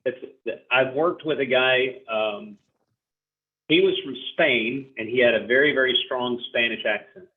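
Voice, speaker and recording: A man's voice: mid-range voice, measured pace. A man hosting a sales training class over a video call, upbeat and chatty as he calls on each participant. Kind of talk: casual group video call facilitation. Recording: tinny phone-like audio.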